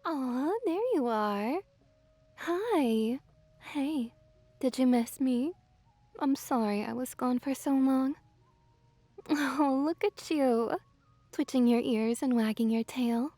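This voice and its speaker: A woman playing a doting, gently dominant kitsune witch in an ASMR roleplay, softly cooing and teasing, sometimes in a breathy whisper. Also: high-pitched, slow, long pauses, dry recording. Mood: playful, teasing. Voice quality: soft, breathy whispering at times. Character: seductive, doting, possessive female kitsune fox witch. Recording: background music behind close, dry voice.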